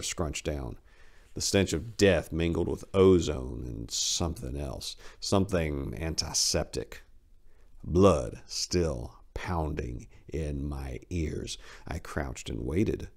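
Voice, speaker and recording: A man's voice: extremely low pitch, slow pace, vocal fry, darkish tone. A man narrating a short story as a dramatic reading, as its host.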